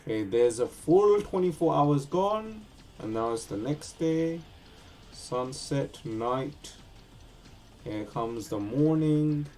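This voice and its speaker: Slow-talking male gaming streamer. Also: a little high, expressive, a little mellow. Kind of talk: casual game playthrough commentary.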